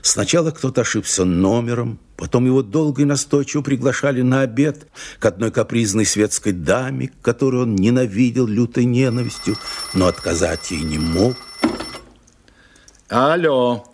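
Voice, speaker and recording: A man reading scripted narration for an audio drama in a theatrical, expressive storytelling manner. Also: medium pitch, normal speed, a little hiss or hum. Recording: music behind the voice.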